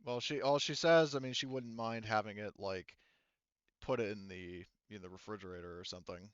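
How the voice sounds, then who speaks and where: Smooth, low-voiced man running a game. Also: steady tone, no room sound, lo-fi sound. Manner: halting, hedging, thinking aloud. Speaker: game master. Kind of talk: improvised tabletop game narration.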